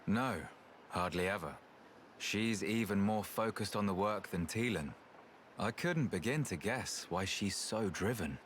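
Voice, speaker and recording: An actor playing a calm, reasonable and slightly weary researcher in a video game, speaking in an unhurried, thoughtful way. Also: male voice, fairly low pitch, a little hiss or hum, close to an everyday voice. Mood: relaxed. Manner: unhurried, thoughtful, plain-spoken. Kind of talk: video game cutscene acting. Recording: game music behind the voice.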